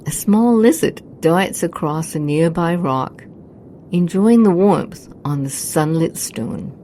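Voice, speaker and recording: A woman narrating a scripted guided meditation in a soothing, hushed, unhurried manner. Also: on the low side, expressive, a little hiss or hum.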